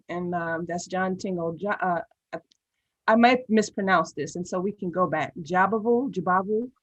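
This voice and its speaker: A woman's voice: mid-range voice, rough recording. A woman answering as a panelist in a discussion, speaking carefully and hesitantly.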